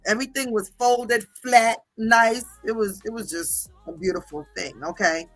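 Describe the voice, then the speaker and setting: Sassy, animated middle-aged New woman. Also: fairly high pitch, no room sound, soft background music. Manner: animated, sassy, slangy, drawn-out emphatic words. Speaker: livestream host reading viewer comments. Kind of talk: casual chatty storytelling.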